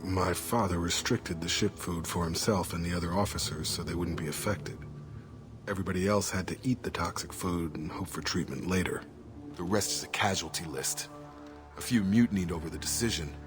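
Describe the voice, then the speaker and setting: A very low-voiced actor as a brooding soldier from a video game. He is grim and plain-spoken, speaking his scripted lines over loud music. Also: a little fast, natural voice. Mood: grim, troubled. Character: grim soldier confronting his father's crimes.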